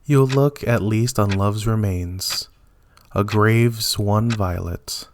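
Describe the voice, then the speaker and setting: A man's voice: on the low side, slow pace, slight background noise. A man giving a dramatic reading of classic love poetry, line by line. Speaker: poetry reader.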